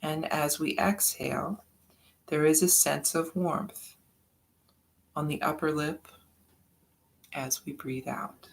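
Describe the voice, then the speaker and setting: A meditation guide giving soft-spoken, drawn-out breathing instructions with long pauses between phrases. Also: medium pitch, vocal fry.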